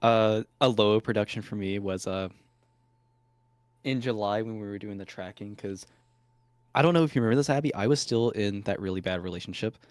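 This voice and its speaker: A man's voice: lowish voice, fairly quick, no echo, studio quality. A young man in a casual call interview, talking hesitantly with many fillers. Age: young adult. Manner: hesitant, filler-heavy speech.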